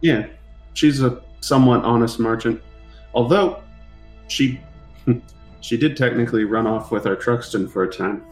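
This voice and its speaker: A man's voice: medium pitch, unhurried, pauses often, soft background music. A man playing a tabletop roleplaying game, chatting casually in character with the group.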